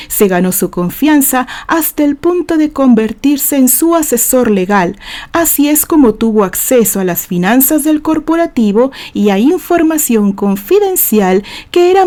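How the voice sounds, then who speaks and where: A woman's voice: fairly high pitch, mellow, natural voice. A woman voicing an indignant male corporate witness in an audio novel, formal, emphatic and accusatory.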